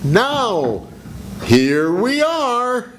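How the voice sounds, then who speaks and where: A man's voice: extremely high pitch, big pitch swings, extremely slow, a little hiss or hum, exaggerated voice. An older man in a senior center radio drama reading, playing a nervous husband who stammers when questioned. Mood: cheerful. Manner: flustered, stammering. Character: nervous husband suspect, man, middle-aged. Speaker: amateur radio actor.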